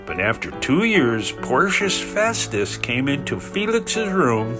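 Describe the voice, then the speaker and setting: Guy narrating bible scripture, really animated pitch, measured expressive delivery, guitar music playing under it. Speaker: scripture reader. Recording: music behind the voice.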